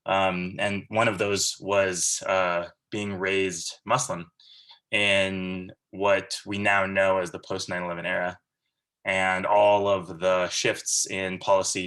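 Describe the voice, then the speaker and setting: A man's voice: sits low, vocal fry, fairly bright tone. A man in his thirties from Central California, giving a casual, earnest and rambling guest lecture over a video call. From Central California, USA. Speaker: guest speaker.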